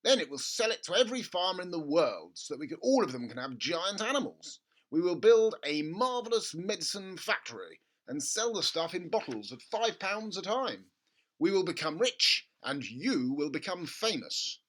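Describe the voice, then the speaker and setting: A man voicing an overbearing, excitable middle-aged father with grand schemes in a storybook reading, exclaiming loudly. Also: sits high, normal speed, pitched above the performer's own voice, full character voice. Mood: excited.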